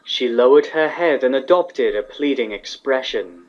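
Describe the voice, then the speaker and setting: A man's voice: mid-range voice, lively intonation, measured pace, a little mellow, dull audio. A man reading scripted fantasy fiction aloud as the narrator of a story.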